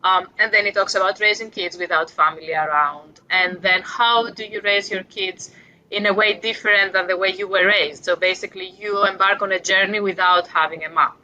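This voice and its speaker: A woman's voice: mid-range voice, fairly even intonation, fairly quick, bright, forceful tone, dull audio. A woman in her forties from Greece with a Greek accent, a guest author talking reflectively about parenting, with light self-deprecating humor. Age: 40s. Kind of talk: casual interview talk.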